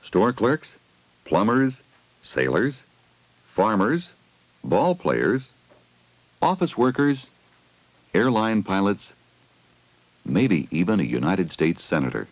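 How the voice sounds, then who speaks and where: Male narrator for a 1980 school film: low voice, very varied melody, simple explaining and questioning aimed at kids. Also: big pitch swings, slow pace, long pauses, no room sound, low-quality recording. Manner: addresses children with simple questions. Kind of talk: scripted educational film narration. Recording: 1980 16mm film soundtrack.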